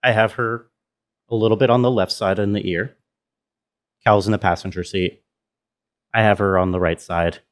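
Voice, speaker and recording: A man with a fairly low voice gives a relaxed, informal walkthrough as a sound designer. He thinks aloud in a halting way and checks in with whoever is listening. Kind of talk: casual tutorial talk, thinking aloud.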